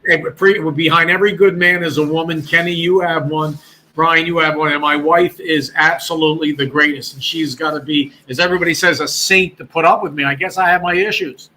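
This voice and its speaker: A man's voice: a little high, steady tone, brisk, bright, forceful tone, slight background noise, rough recording. A man, a former radio broadcaster talking as a guest in a rambling, chatty way. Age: 60s. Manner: rambling, chatty, many restarts. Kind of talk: casual chat on a call-in show.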